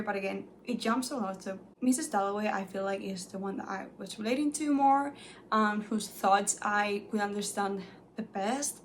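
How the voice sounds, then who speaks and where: A young woman whose first language is Spanish, chatting casually about books she has read, animated and full of "like"s. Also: medium pitch, gravelly, a little hiss or hum. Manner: chatty and animated, many "like"s, light laughs. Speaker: book reviewer. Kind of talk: casual chat to camera.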